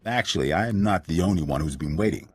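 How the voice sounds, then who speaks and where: An actor playing a mocking, excitable male small-time crook in a video game. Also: sits low, fast talker, slight background noise. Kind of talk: video game cutscene acting.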